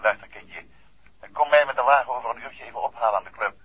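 An urbane, jovial husband teases fondly down a telephone line. The voice is husky, thin and tinny, as in a vintage radio drama. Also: male voice, medium pitch, fast talker, no room sound. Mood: jovial, teasing. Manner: jovial, teasing. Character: affectionate, bantering husband, urbane detective. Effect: telephone filter. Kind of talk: 1960s Dutch radio play acting.

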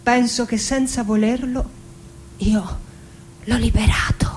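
An actress playing a melancholic middle-aged woman poet recalling her family in a slow, deliberate theatrical monologue with dramatic pauses. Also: a little high, steady tone. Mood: sorrowful, hushed.

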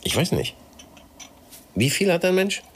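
A man's voice: sits high, big pitch swings, unhurried, noisy background, exaggerated voice. An actor playing a whiny, defensive press spokesman at odds with his girlfriend in a radio crime drama. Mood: plaintive.

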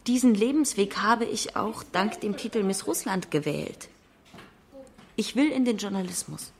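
A woman reading a translated interview as an expressive documentary voice-over. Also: medium pitch, a little slow.

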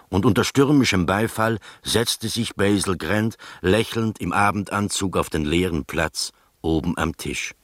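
Gentleman narrator, low voice, measured, literary, bemused, radio drama, close dry studio. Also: no room sound. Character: gentleman narrator recounting his strange adventures, bemused, literary.

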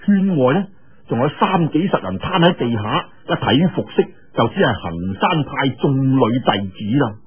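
A man with a husky voice tells a martial arts story in a dramatic, colloquial style with drawn-out particles. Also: mid-range voice, sing-song. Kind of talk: animated Cantonese storytelling of a martial arts novel. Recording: dull, lo-fi radio-like audio.

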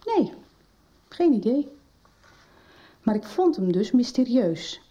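An actress playing a mild, frank teacher in a Dutch radio soap. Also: female voice, a little high, big pitch swings, slow pace, dark tone, far from the mic. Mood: frank, thoughtful. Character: mild, frank woman school teacher advising a pupil on books.

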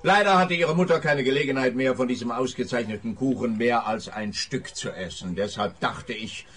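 A pompous, bumbling police officer in a comic radio drama. The voice is rough and husky at a middle pitch. He talks fussily, pleased with himself and none too bright. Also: moderate pace. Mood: smug. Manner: fussy, pompous. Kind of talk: comic radio play acting.

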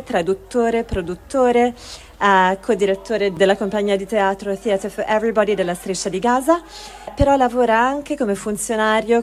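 A woman presenting a theatre project in an earnest, deliberate way, as if introducing a reading. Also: mid-range voice. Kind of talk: spoken introduction to a live reading.

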